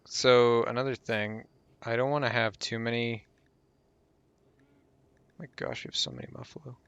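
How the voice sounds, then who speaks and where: A man's voice: medium pitch, little pitch movement, normal speed, vocal fry, a bit bright, clear, resonant tone, no echo, muffled recording. A man talking casually over a strategy game guide while losing his voice, rambling and trailing off with fillers. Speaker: gameplay guide host.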